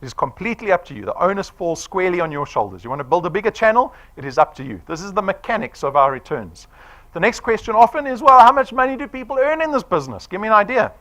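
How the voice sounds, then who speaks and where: Fortyish guy pitching to an audience, high voice that jumps all over, keeps going "right?". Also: fast talker. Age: 40s. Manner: animated, persuasive, quizzing the room with "right?". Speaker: presenter. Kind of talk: sales presentation to a live audience.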